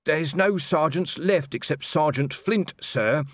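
A man's voice: fairly high pitch, a little slow, no room sound, clean studio sound, voice pushed up. A man doing a prim, dogged young constable. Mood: insistent. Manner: dogged, formally polite, ending lines with "sir". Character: polite, persistent young male constable. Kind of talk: audiobook character voice.

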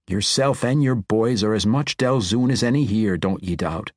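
A man voicing a young male dwarf king, calm and reassuring, with a dwarven brogue. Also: on the low side, moderate pace, dry recording, studio quality, close to an everyday voice.

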